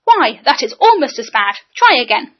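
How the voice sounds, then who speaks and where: A woman's voice: high-pitched, very animated, moderate pace. A woman reading a fussy fretful lady. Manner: fussy, exclaiming. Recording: dull lo-fi recording.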